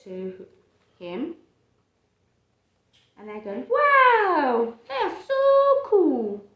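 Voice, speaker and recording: A woman reading a picture book aloud to a child and chatting about it, with expressive, drawn-out emphasis on phrases. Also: very high voice, highs cut off. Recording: roomy, echoey home recording.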